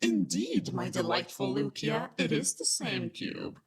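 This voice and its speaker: A woman's voice: medium pitch, wildly swooping pitch, a little slow, creaky, full character voice. A woman playing an eccentric, curious tech-priest scholar, affectionate and a little nervous, in a sci-fi audio drama. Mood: sly.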